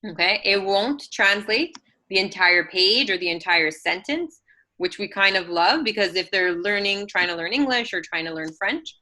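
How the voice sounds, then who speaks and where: A woman presenting an assistive technology webinar, walking parents through software tools with frequent ums and self-corrections. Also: lowish voice, poor audio.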